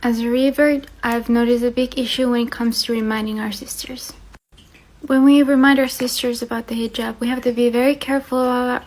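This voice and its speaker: A young woman from Finland, speaking earnestly and with passion to her viewers, with frequent fillers. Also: a little high. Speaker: vlogger. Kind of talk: earnest talk to camera.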